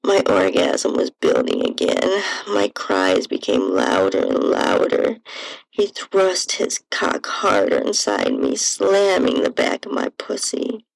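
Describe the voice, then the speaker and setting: A woman with a breathy, husky voice reading a first-person erotic story in a soft, intimate, near-whispered delivery. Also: fairly low pitch, huge pitch swings, takes it slowly, no echo, poor audio. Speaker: podcast host narrating own stories.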